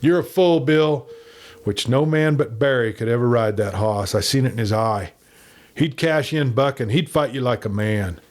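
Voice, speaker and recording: A man voicing a cruel, excitable cowboy outlaw. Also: moderate pace, close to an everyday voice. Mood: scornful. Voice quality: squeaky tenor.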